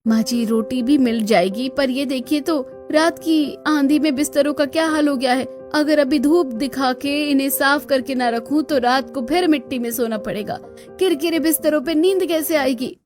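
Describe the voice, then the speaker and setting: A woman's voice: high-pitched, moderate pace, a little mellow, faint music behind, close to an everyday voice. A woman voicing a fed-up young village daughter-in-law in a cartoon, grumbling and gossipy. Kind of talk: Hindi cartoon dialogue.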